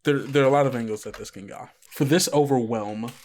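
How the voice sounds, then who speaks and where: A man's voice: medium pitch, fairly quick, a little mellow. A man running a tabletop roleplaying game, narrating in a casual, improvised, conversational way. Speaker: game master. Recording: guitar music playing behind the voice. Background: plucked guitar music.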